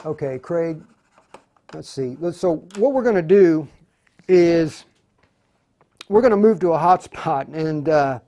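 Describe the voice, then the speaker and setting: A man hosting an unscripted live tutorial, speaking haltingly and often muttering to himself as he works. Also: on the high side, expressive, mellow. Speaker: host of a live radio tutorial.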